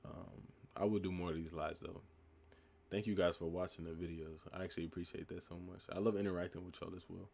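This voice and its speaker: A young man from the United States with a calm, laid-back voice, reading live chat questions aloud and answering them in a relaxed, reflective way. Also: deep voice, fast, creaky. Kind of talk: casual live stream chat.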